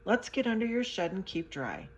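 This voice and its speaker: A woman's voice: medium pitch, very animated, moderate pace, breathy edge. A woman voicing a kindly old sailor with homely, plain speech. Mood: soothing.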